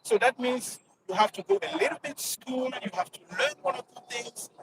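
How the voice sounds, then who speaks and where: A man hosting a casual live street chat in simple, halting phrases. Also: extremely high pitch, big pitch swings, vocal fry. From Nigeria. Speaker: live streamer, driving tips host. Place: outdoors on a motorway bridge. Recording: loud outdoor traffic noise. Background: passing vehicles, wind and road noise.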